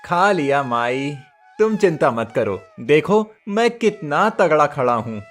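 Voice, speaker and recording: A man playing a devoted village goatherd boy, soft and reassuring, high voice, slow, cartoon story. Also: big pitch swings, faint music behind. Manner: soft, reassuring, stammers when scared.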